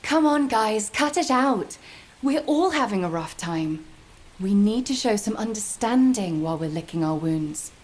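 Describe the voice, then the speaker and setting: An actress voicing a weary but firm squad commander in a video game, authoritative and wry. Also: female voice, medium pitch, lively intonation, measured pace, darkish tone, close to an everyday voice. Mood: exasperated.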